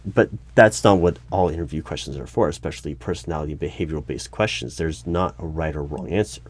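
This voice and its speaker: A man playing an exasperated, argumentative hiring manager in an audio roleplay, speaking haltingly and in formal wording. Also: very deep voice, no echo. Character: exasperated male human-resources hiring manager interviewing a robot. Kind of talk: one-sided audio roleplay.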